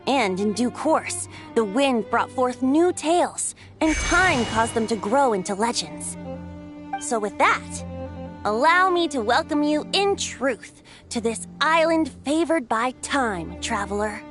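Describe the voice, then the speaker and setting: A boyish bard god from a video game, with a light, high voice. He speaks like a poetic storyteller, playful yet wise, with a theatrical lilt. Music plays behind the voice. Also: lively intonation, slow pace. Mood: solemn, poetic. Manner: lyrical, theatrical, gently teasing storyteller. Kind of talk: video game character dialogue.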